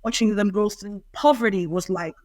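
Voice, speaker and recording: A woman's voice: medium pitch, moderate pace, darkish tone, dry recording. Reflective young woman, dry sound. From Ireland. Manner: reflective, thoughtful. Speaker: poet and interview guest. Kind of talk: interview answers.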